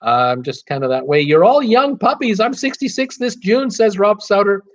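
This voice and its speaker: A man's voice: high voice, expressive, normal speed. A man hosting a live cruise Q and A stream, reading viewer comments aloud and replying in a chatty, easygoing way with lots of "uh"s. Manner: reads out chat names and replies in a chatty, easygoing way with many "uh"s. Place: temporary home setup in a city. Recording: dull, lo-fi live-stream audio.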